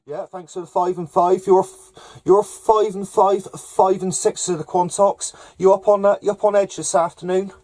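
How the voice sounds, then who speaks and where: A man with a hesitant, halting delivery, making casual CB radio contacts with call signs and jargon. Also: high voice, level tone, dark tone, a little hiss or hum. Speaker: amateur CB radio operator running contacts. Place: camper van up in the hills.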